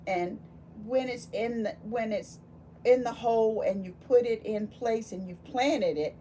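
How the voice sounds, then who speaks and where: A woman reading a picture book aloud to young children, expressive and full of wonder, pausing to ask them questions. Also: mid-range voice, slight background noise. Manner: animated, exclaiming with gasps and wonder, addressing young children. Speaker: volunteer children's read-aloud storyteller.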